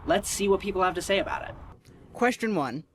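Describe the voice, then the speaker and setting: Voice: mid-range voice, expressive, brisk, a bit bright, slight background noise. A young student host narrating a scripted segment in an upbeat, explanatory way.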